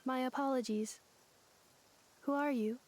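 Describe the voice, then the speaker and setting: A formal, bewildered android maid from a video game. Her voice is fairly high and mellow, and she speaks slowly and politely. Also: fairly even intonation, a little hiss or hum. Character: humanoid maid robot with lost memory, polite, bewildered.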